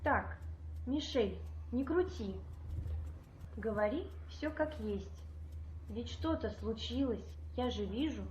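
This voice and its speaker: An actress playing a loving, teasing wife who can turn strict, in a radio play. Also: female voice, a little high, expressive, slow pace, a little mellow, dull audio, a little hiss or hum. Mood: insistent, stern. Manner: tender, teasing, mock-stern. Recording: roomy echo with music behind.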